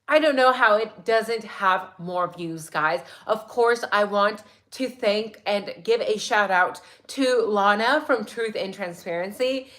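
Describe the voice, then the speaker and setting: A woman hosting a casual true crime livestream, chatty and excitable, her voice a little raspy today. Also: on the high side. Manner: chatty, excitable, many "like"s and "right?"s. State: slightly raspy voice.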